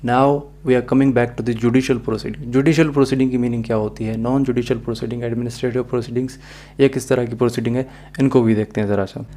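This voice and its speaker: A man's voice: mid-range voice, steady tone, a little fast, warm tone. A man with a Pakistani accent giving a methodical, explanatory video lecture as a law teacher, mixing in English phrases.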